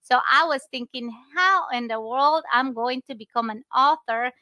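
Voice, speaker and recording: High bright spanish-accented lady hosting a chat show, effusive and grateful, dry close sound. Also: normal speed, no echo, muffled recording. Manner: warm, effusive and grateful, with fillers. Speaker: podcast host. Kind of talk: casual interview chat.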